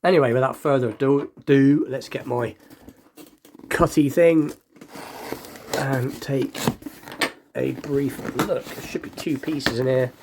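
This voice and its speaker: A man's voice: medium pitch, steady tone, slow pace, long pauses, noisy background. Slightly nasal man, casual vlogger. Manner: rambling, thinking aloud. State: slight cold. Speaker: hobby unboxing video host. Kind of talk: casual chatty unboxing commentary.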